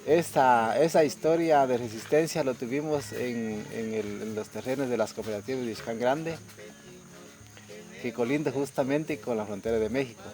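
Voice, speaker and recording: A middle-aged man whose first language is Kaqchikel gives an earnest, steady testimony with pauses. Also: medium pitch, faint music behind, poor audio. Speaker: community resident sharing testimony. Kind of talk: testimony interview.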